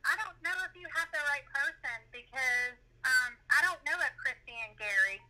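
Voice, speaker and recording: A woman with a high, piercingly bright voice speaks through a telephone speaker. She keeps a casual but skeptical tone, questioning the caller. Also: lively intonation, moderate pace, low-quality recording. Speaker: prank call recipient. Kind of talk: casual phone conversation. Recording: voice over a phone speaker.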